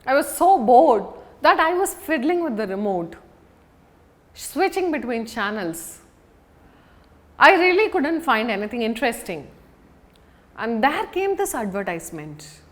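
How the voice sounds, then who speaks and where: A woman giving a public speaking lesson as an instructor, speaking deliberately and emphatically with dramatic pauses. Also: on the high side, very animated. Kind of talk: scripted lesson to an audience on video.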